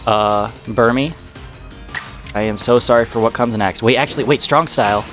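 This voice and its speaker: A man's voice: medium pitch, normal speed, fairly bright tone, faint music behind. A man hosting a let's play, chatting and reacting aloud to a game while slightly sick, his voice a bit off. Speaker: gaming channel host.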